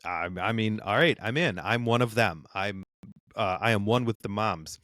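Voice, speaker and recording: A man's voice: lowish voice, moderate pace, fairly bright tone, dry recording. Man, middle-aged dad, podcast co-host, dry close-miked sound, wry and teasing, laughs a lot. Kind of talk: casual chat.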